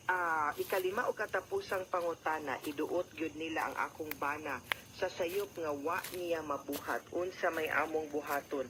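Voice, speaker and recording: Cebuano woman giving radio advice over noise. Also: lowish voice. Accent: Cebuano Filipino. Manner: explanatory, punctuates points with tag questions. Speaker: radio legal advice host, lawyer. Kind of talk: conversational legal advice on radio.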